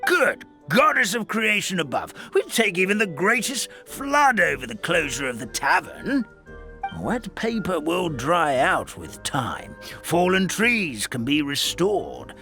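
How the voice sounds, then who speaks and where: Old fretful paper-creature grandpa, lively swooping delivery, bright tone, rambling and dramatic, soft music behind. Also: male voice, sits high, measured pace, full character voice. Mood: worried storytelling. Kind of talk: video game dub acting.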